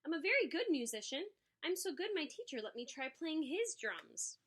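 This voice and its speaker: An eager little storybook critter boy read by a woman, bright and fairly high. He is earnest, emphatic and proud of himself. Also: sing-song, moderate pace.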